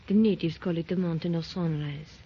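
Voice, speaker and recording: An actress playing a nervous young woman hiding a secret in a 1930s radio drama, hesitant and breathy. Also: fairly low pitch, fairly even intonation, a little fast. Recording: dull lo-fi 1930s radio recording with faint hiss.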